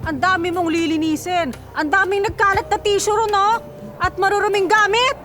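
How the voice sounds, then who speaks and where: An actress playing a haughty, cruel young office worker in a Filipino radio drama, taunting with open contempt. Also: female voice, very high voice, steady tone, normal speed. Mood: contemptuous. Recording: music bed under dialogue.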